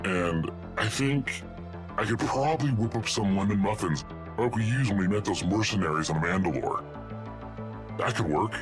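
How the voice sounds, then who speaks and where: Man acting a deep-voiced burly baker rebel. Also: lively intonation, moderate pace, close to an everyday voice. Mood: deliberating. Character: big burly, earnest baker in a rebel squad. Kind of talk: scripted audio drama. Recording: music under the voice.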